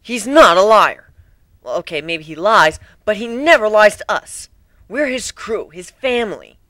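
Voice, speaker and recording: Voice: medium pitch, expressive, a little slow, pushed and bright, dry recording, voice pushed up. A performer voicing a friendly, protective female cat adventurer who is earnest and loyal. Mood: defensive. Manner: earnest, defensive.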